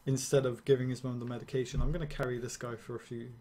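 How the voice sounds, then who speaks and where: A young man streaming a game, rambling and ranting casually with swearing and quick laughs. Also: medium pitch, flat delivery, warm tone, slight background noise. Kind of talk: casual gameplay commentary.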